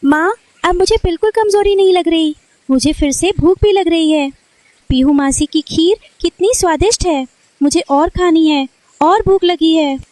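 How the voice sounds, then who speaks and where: A woman's voice: very high voice, moderate pace, darkish tone, dry recording, poor audio. A woman playing a sweet, childlike little girl bird in a children's cartoon story. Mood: happy, eager. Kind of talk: animated children's moral story dialogue.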